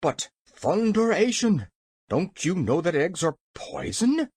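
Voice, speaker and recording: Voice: medium pitch, big pitch swings, measured pace, husky, no echo. A narrator voicing a pompous, tyrannical little gnome king in a storybook, roaring and exclaiming. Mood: furious. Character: blustering, excitable little male gnome king, tyrannical villain.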